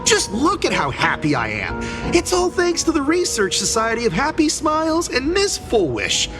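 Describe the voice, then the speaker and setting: High, wildly animated gushing townsman. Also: normal speed, over music, full character voice. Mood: gushing, upbeat. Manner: effusive salesman patter. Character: gushing, over-cheerful male street vendor townsman devoted to his idol. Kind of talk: animated video game voice acting.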